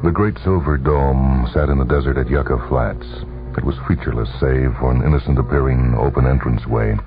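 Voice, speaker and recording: A male narrator with an extremely deep voice reads a radio story with dramatic, deliberate phrasing. The broadcast sounds thin and band-limited, and music plays clearly behind him. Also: phone-quality sound.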